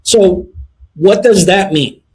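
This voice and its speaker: A middle-aged man from Lebanon answering interview questions in a measured way, with many filler sounds between phrases. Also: sits high, darkish tone, rough recording. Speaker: guest, precious metals dealer.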